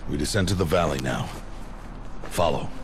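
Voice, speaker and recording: An actor playing a stern, gruff outcast hunter who gives firm, measured instruction in a video game. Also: male voice, rumbling low, expressive, background noise. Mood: stern, instructive. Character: stern, gruff male outcast hunter, guardian teaching a young girl. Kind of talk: video game cutscene acting. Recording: loud music behind the voice.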